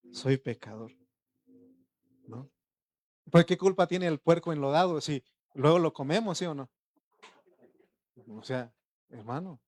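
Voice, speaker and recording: Conversational preacher, rhetorical questions. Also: male voice, medium pitch, darkish tone. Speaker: pastor. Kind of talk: informal sermon.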